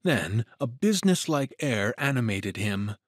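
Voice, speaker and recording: Man, story narrator, raspy-edged lowish voice, lively dramatic intonation, very dry recording. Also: a little slow, no echo.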